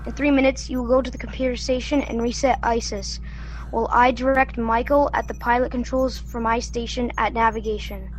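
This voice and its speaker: A woman's voice: a little high, steady tone, fairly quick, lightly acted. A young woman playing a brainy, bossy, urgent teenage boy navigator in a sci-fi radio play. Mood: urgent, businesslike. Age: young adult.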